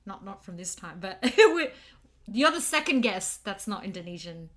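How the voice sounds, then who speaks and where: A woman's voice: medium pitch, very animated, normal speed, fairly bright tone. A young Australian woman hosting a casual chat podcast. She asks loose, rambling questions, stopping and restarting often. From Australia (Sydney). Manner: rambling, lots of fillers and restarts. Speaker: podcast host.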